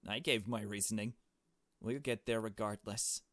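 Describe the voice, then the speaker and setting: Casting: male reader as soft-spoken, lowish-pitched getaway driver, polite and measured, forever placating, visual novel role. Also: fairly even intonation, no echo, clean studio sound, natural voice. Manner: measured, polite, formal, subdued. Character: calm, apologetic, cautious male getaway driver.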